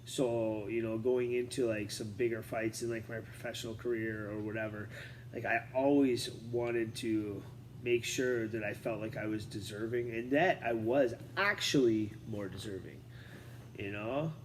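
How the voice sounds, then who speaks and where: A man's voice: on the low side, normal speed, slight background noise, poor audio. A man, a martial arts coach, talking casually from a chair in a rambling, filler-heavy, fired-up way. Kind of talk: casual talk to camera. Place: seated on a chair indoors.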